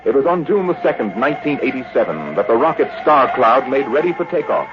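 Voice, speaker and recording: A man acting as a grave, portentous narrator in a 1950s American radio drama, speaking deliberately with an American accent. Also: medium pitch, sing-song, lightly acted. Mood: grave, suspenseful. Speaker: announcer and narrator. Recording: old muffled radio recording with loud music.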